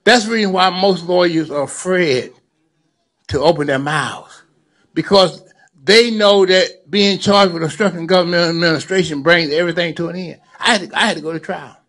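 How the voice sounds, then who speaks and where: A man's voice: high-pitched, moderate pace, fairly bright tone. Seventy-year-old man preaching to a crowd. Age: 70s. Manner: preacherly, repeating phrases for emphasis. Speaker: lawyer and community activist. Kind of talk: speech to a gathering.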